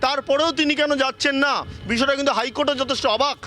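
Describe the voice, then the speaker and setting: A man's voice: extremely high pitch, fairly even intonation, bright, forceful tone. A man giving a live, unscripted news report with many hesitations. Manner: halting, frequent hesitations.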